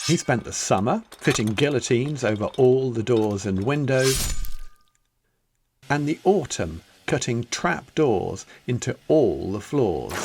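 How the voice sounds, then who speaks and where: A man reading a children's picture book aloud as its author, in a dramatic, deliberate storytelling manner with emphatic pauses. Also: mid-range voice, noisy background. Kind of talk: expressive children's story narration.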